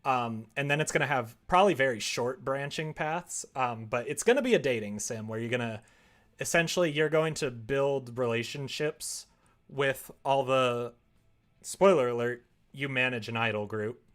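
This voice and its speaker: A man's voice: mid-range voice, normal speed, a bit bright. Casual man thinking aloud on a livestream. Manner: rambling, thinking aloud, self-deprecating. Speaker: livestreamer making a visual novel. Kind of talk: casual livestream chat while working.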